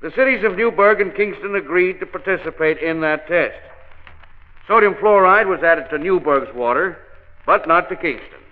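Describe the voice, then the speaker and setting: A man acting as an earnest dentist in an old radio play. His fairly high voice is formal and explanatory. It comes through narrow and tinny, with long reverberation. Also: normal speed, natural voice. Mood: factual. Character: earnest, factual male dentist and society president. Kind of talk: 1940s radio drama acting.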